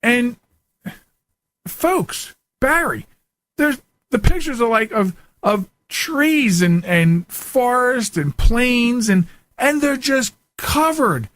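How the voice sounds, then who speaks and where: A man's voice: extremely high pitch, expressive, slow pace, fairly bright tone, no echo. A man hosting a radio show, talking casually and informally in a folksy, conversational way as he gives his commentary.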